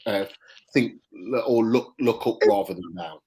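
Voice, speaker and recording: A man's voice: fairly low pitch, a little slow, mellow, poor audio. A man co-hosting a fan forum, talking confidently and sharing strong opinions. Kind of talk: casual fan chat.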